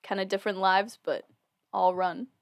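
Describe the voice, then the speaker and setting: A woman's voice: medium pitch, fairly even intonation, moderate pace, dull audio. A woman of twenty-five with an American accent, chatting casually as a podcast co-host and peppering her talk with "like". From Boston area, United States. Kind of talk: casual chat.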